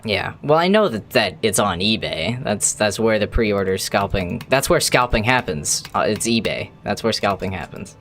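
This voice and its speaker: A man's voice: on the low side, expressive, moderate pace, hardly any pauses, fairly bright tone, a little hiss or hum. A man streaming a video game, talking casually to his chat in a chatty, rambling way with the odd swear. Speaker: gaming streamer. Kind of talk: casual live-stream commentary while playing.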